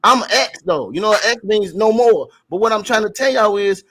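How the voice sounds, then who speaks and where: A man's voice: high voice, lively intonation, normal speed, fairly bright tone, breathy edge. A man co-hosting a talk show, ranting casually in colloquial street talk, repeating himself and swearing mildly as he preaches. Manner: frequent mild swearing. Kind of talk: casual opinionated rant to co-hosts and audience.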